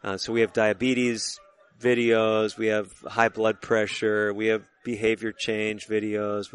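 Low-voiced male radio show host. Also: clear, resonant tone. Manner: measured, conversational questioning. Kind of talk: radio interview.

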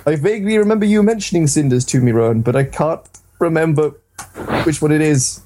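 A man's voice: fairly high pitch, brisk, background noise. A man co-hosting a casual games podcast, talking in a hesitant, rambling, self-deprecating way. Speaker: podcast co-host.

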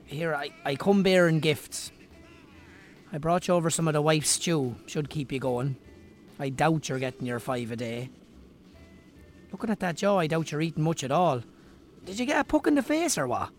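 A man's voice: a little high, animated, moderate pace, faint music behind, natural voice. A man playing a caring, teasing Irish friend in a radio drama, chatty and bantering. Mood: teasing, concerned. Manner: bantering, concerned. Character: caring, teasing male old friend, married. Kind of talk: naturalistic radio drama acting.

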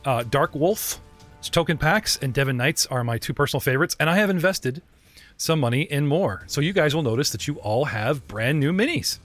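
A man's voice: on the high side, animated, moderate pace, fairly bright tone, soft background music. A man running a tabletop game as game master, joking and rambling with his players, often restarting his sentences. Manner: playful, rambling, stumbling restarts.

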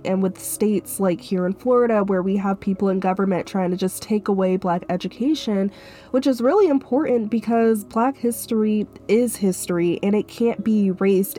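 Young woman, solo podcast host, stuffy and sick, mellow tone, earnest and chatty, soft music beneath. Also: medium pitch. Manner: earnest, filler-heavy casual talk. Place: Florida.

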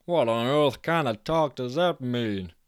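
A blustery, boastful man with a smooth, resonant voice, put on by a male storyteller. He swears freely and drawls in a folksy way, heard close and dry with no room around him. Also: mid-range voice, lively intonation, a little slow, no room sound, lightly acted. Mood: fretful. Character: brash loud adult man, boastful party guest.

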